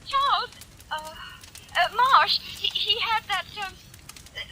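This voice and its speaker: An actress playing an anxious, indignant wife in an old radio drama, agitated and flustered in manner, on a noisy old recording. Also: female voice, very high-pitched, lively intonation, slow, sharp tone, full character voice. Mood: distraught. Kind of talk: 1940s radio drama acting.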